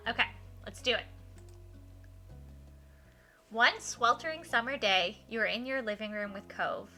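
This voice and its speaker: A woman's voice: mid-range voice, bright, forceful tone. A woman streamer reading a visual novel aloud expressively, with casual asides to her viewers and game music behind her.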